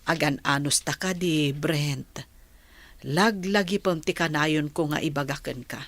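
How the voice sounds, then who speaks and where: A woman's voice: deep voice, measured pace, fairly bright tone, dry recording. A woman with an Ilocano Filipino accent playing a patient village mother giving advice in a radio drama. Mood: patient, advising.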